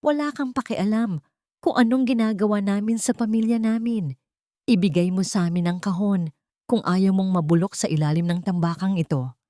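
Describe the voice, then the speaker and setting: A woman's voice: medium pitch, moderate pace, mellow, no room sound, clean studio sound, natural voice. A woman voicing a ruthless, angry grown son who gives cold threats in an audio drama. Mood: furious. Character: ruthless angry eldest son hunting his mother.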